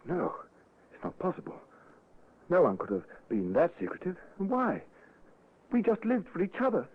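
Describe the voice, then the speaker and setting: An actor playing a polite, shocked and grieving husband, acted emotionally for an old radio drama. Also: male voice, high voice, wildly swooping pitch, normal speed, breathy edge, exaggerated voice. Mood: shocked, disbelieving. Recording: muffled, lo-fi old radio recording.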